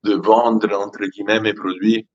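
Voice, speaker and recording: A man from Italy speaking French with an Italian accent, halting and repeating words as he searches for them in a casual live chat. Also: lowish voice, flat delivery, highs cut off. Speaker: aquarium product company founder.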